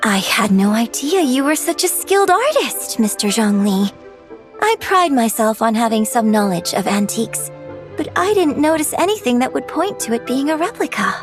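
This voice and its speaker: A woman's voice: a little high, very animated, normal speed, soft background music. A woman voicing a gracious, modest young lady of the opera in a video game, polite and poised. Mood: admiring. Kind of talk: scripted video game dialogue.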